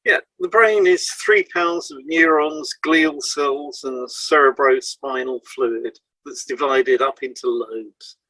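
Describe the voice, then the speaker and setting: A man's voice: mid-range voice, pushed and bright, dry recording. Man reading explanatory material, muffled dry sound. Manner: measured, explanatory, lists items one by one. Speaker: podcast presenter and hypnotherapist. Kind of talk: scripted educational narration.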